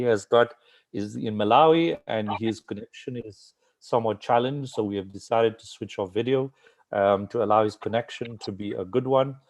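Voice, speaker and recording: Man hosting an interview, halting delivery. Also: medium pitch, darkish tone. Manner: halting, filler-heavy, thinking aloud. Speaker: interviewer, host. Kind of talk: informal interview, welcoming guests.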